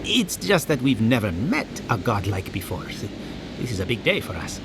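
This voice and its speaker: Eccentric condescending researcher for a game, super swoopy theatrical delivery, noisy ambience behind. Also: male voice, on the low side, moderate pace. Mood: eager curiosity. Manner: excitable, smug, mock-sympathetic. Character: eccentric giddy male scholar, condescending and morbidly curious. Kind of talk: theatrical video game acting.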